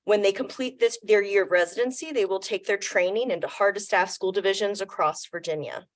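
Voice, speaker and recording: A woman reading prepared remarks in a measured formal presentation to a committee, in a meeting room. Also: mid-range voice. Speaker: program presenter.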